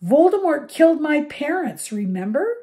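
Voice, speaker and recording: A woman's voice: mid-range voice, moderate pace, dark tone, natural voice. Woman voicing an urgent young schoolboy. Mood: defiant. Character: determined young schoolboy hero.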